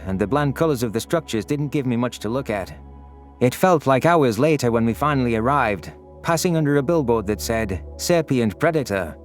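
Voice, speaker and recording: A man reading scripted first-person science fiction narration as a story narrator. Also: medium pitch. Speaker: narrator of audio stories.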